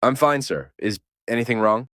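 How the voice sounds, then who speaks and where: A man's voice: mid-range voice, animated, moderate pace, a bit bright, no echo, studio quality, lightly acted. A man playing a polite, unsure employee in an amateur audio drama. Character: polite, unsure male employee named Jonathan.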